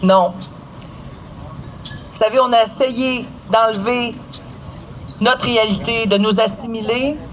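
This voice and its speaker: A woman's voice: a little high, steady tone, slow pace, long pauses, slight background noise. A woman with a Quebec French accent making an earnest, emphatic public speech at an outdoor event. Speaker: guest speaker at a public celebration. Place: outdoor event at an old port.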